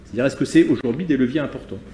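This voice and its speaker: A man interviewing a guest, asking questions briskly and rephrasing them as he goes. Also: medium pitch, warm tone, a little hiss or hum. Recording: echoey room.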